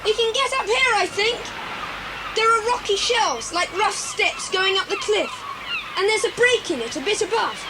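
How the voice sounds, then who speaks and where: Very high plucky child calling. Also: female voice, animated, moderate pace. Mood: eager, calling. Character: eager, plucky child explorer. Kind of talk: 1980s children's audio drama acting. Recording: noisy cassette, engine rumble behind.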